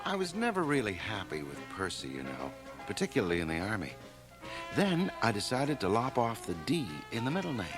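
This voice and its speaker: Cocky young society guy, lowish voice, very animated, breezy and full of himself, radio play with music. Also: fairly quick, rough recording. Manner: breezy, pompous, self-assured. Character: glib, self-satisfied, ambitious young man-about-town. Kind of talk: radio drama dialogue. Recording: 1980 radio drama, home cassette transfer.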